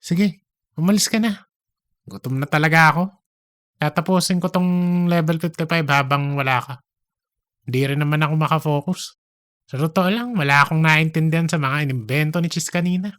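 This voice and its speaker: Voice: medium pitch, normal speed, long pauses, fairly bright tone, dry recording, studio quality, natural voice. A performer acting out chatty office colleagues in a comedic audio drama, with an exaggerated, teasing conversational manner. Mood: casual.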